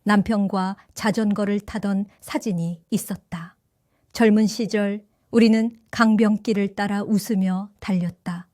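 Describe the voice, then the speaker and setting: A woman in her seventies narrating her own story for an audio drama, in a gentle, reflective and wistful way. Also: medium pitch, fairly even intonation, measured pace, no echo, clean studio sound. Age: 70s. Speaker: first-person narrator.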